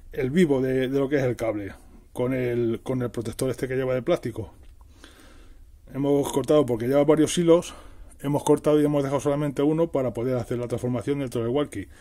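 A man's voice: medium pitch, warm tone. A middle-aged man explaining a hands-on task casually, repeating and rephrasing himself as he works. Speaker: DIY tutorial host. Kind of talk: casual hands-on tutorial narration.

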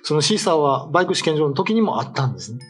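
A man's voice: on the high side, big pitch swings, very fast. A middle-aged man explaining local lore in casual talk show conversation. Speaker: writer and co-host telling local ghost lore. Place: small meeting room, indoors. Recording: music playing behind the voice. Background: background music.

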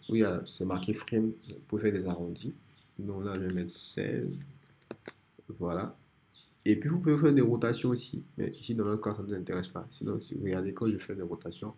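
A man with a cold, calmly walking through a software design tutorial step by step over a screen recording. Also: on the low side. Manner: relaxed, step-by-step explaining with many restarts. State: has a cold.

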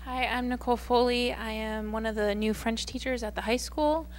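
30s woman, new teacher, friendly bit hesitant intro on a handheld mic, slightly high voice, faint hum. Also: fairly even intonation, moderate pace. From Wisconsin. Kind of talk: self-introduction at a meeting. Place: school board meeting room.

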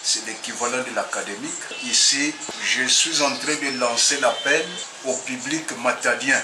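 A man's voice: mid-range voice, moderate pace, over music. A man in his sixties with a Congolese French accent, a technical coordinator making an insistent appeal to the public from a small office. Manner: insistent, exhorting. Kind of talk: promotional appeal. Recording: echoey room with noise.

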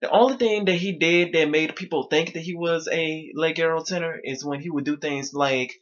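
A man's voice: fairly high pitch, steady tone, moderate pace, a bit bright, dull audio. A young man talking casually to his viewers from his bedroom, his voice a little strained. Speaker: vlogger. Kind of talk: casual talk to camera. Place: small bedroom indoors.

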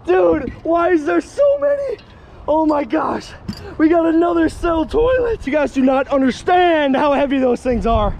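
Excitable young man vlogging outdoors. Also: very high voice, slight background noise. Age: young adult. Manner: excitable, laughing, exclaims at finds. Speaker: magnet fishing vlogger. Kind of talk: excited outdoor vlog chat. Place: outdoors by a pond at an abandoned building, then inside it.

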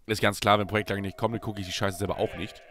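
A young German man commenting casually on his gameplay as a let's play host, his voice still hoarse from a cold, jumping between loud bursts and low mutters. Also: flat delivery, rapid-fire.

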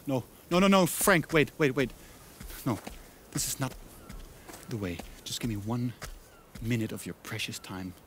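Eccentric cartoon salesman: coaxing, theatrical, unhurried, very varied pitch, faint ambience. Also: very animated, background noise. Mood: pleading. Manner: theatrical, persuasive, ingratiating.

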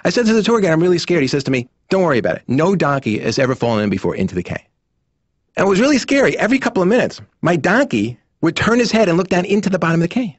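A man's voice: sits high, sing-song, very fast, dry recording, highs cut off. A man playing an anxious therapy patient in a cartoon, telling an anecdote in stand-up comedy style. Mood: anxious, animated.

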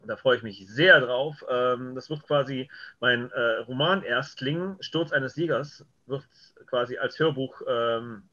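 A man's voice: medium pitch, measured pace, pushed and bright. A man chatting casually as an interview guest on a video call. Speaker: interview guest, writer and director. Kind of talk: casual chat. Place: home room, video call. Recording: dull, lo-fi video call audio.

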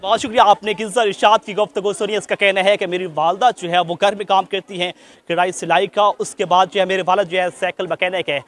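Male field host, high voice, formal and courteous, from Pakistan. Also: steady tone, fast talker. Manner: formal, polite. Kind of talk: street interview reporting.

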